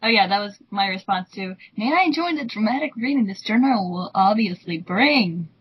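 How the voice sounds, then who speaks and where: A young woman banters casually and mockingly with friends. Her voice is husky and of average pitch. It comes through a dull, lo-fi call connection. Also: animated, moderate pace. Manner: sarcastic, playful.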